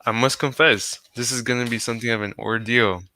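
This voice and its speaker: Man as an anxious, bright-voiced king. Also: medium pitch, fairly even intonation, a little fast, close to an everyday voice. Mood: impatient. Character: anxious, impatient, formal male king. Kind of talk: 1940s radio drama acting.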